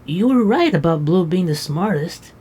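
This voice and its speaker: A woman's voice: fairly low pitch, animated, normal speed, mellow. A woman playing a sleepy, protective young knight who talks in a drowsy, affectionate way. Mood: tired. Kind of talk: casual roleplay dialogue.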